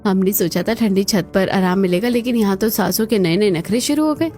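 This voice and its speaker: A woman playing put-upon, chatty daughters-in-law in a cartoon story, with colloquial, expressive delivery. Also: medium pitch, brisk, close to an everyday voice. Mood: sarcastic, fed up.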